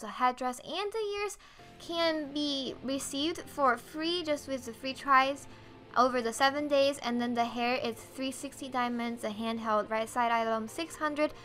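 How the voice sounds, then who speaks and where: Female game guide host: high, bright voice, casual explainer delivery.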